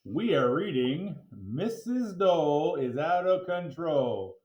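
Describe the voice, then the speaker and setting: A man reads a children's storybook aloud with big, lively swings in pitch. His delivery is playful and theatrical, stretching words for emphasis. The sound is roomy and echoing. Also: slow pace, muffled recording. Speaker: teacher reading aloud to a class.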